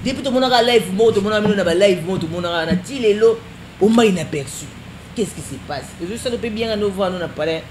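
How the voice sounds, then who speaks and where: A woman hosting an interview, animated and quick with interjections, mixing two languages. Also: medium pitch. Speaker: interviewer host. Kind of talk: lively interview chat. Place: studio set with wooden walls, indoors. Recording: echoey room with clear background noise.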